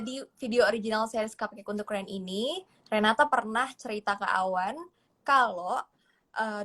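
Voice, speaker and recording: A woman's voice: on the high side, a bit bright. A young woman casually chatting and teasing as a guest in a truth-or-lie game. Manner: playful, teasing.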